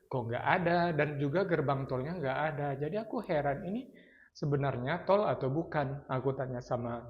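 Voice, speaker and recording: A man's voice: on the high side, moderate pace. A man from Sumatra in Indonesia, chatting casually and thoughtfully about his travels, slipping in English phrases. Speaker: traveller sharing impressions. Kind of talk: casual chat. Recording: dull lo-fi sound.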